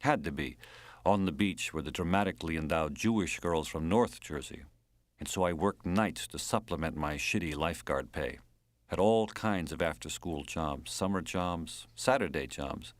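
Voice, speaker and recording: A male narrator acts out a wry, earthy American man in an audiobook. The voice sits deep and very dry, with an American accent. He reminisces in clipped, colloquial fragments. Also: normal speed, no room sound. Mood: wry, reminiscing.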